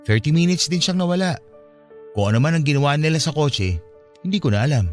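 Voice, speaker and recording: A man's voice: fairly high pitch, lively intonation, a little fast, close to an everyday voice. A man acting an earnest young man who confides a painful family past in a conversational radio drama style. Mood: confiding, recounting. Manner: conversational, confessional. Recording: music behind the voice.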